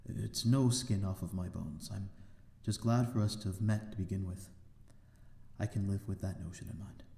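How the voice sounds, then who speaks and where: Man playing a deep, mellow, gentlemanly vampire. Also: fairly even intonation, normal speed, creaky, echoey room. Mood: tender, grateful. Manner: genteel, formal, hesitant, old-fashioned wording. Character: courteous, lonely male vampire lord of a manor. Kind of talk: ASMR audio roleplay, amateur drama.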